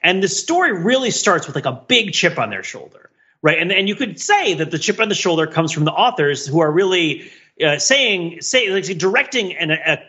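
A man's voice: high voice, sing-song, moderate pace, pushed and bright, dull audio. A man speaking as a podcast panelist in a discursive, emphatic, intellectual way, in casual analytical discussion.

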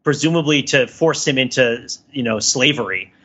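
A man speaking as a podcast panelist in casual, analytical discussion, animated and emphatic as he rambles through his points. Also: medium pitch, moderate pace, highs cut off.

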